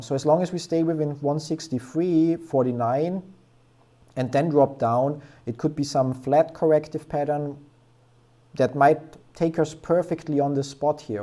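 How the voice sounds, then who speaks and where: A man's voice: mid-range voice, warm tone. A young man hosting a stock trading stream, casually analyzing charts and thinking aloud in a rambling way, with plenty of fillers.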